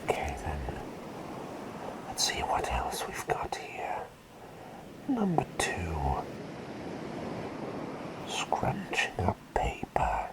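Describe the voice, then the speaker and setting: A man playing a gentle, forgetful, whimsical plague doctor in a soft ASMR roleplay, speaking in a breathy whisper with polite, hesitant rambling. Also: deep voice, huge pitch swings, extremely slow, long pauses, full character voice, rough recording. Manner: rambling, polite, hesitant, repeats phrases softly.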